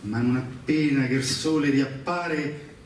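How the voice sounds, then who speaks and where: A man reciting patriotic verse on stage in a solemn, declamatory manner, with pauses between phrases. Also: mid-range voice, slight background noise. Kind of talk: theatrical patriotic poetry recitation. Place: large echoing performance venue, live show. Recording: very echoey hall, distant mic, music.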